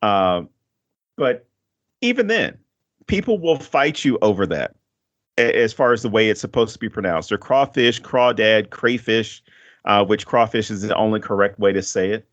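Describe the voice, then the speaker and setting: A man's voice: fairly low pitch, animated, moderate pace, muffled recording. A man hosting a podcast, chatting casually about a film in a rambling way and laughing often. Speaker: podcast host.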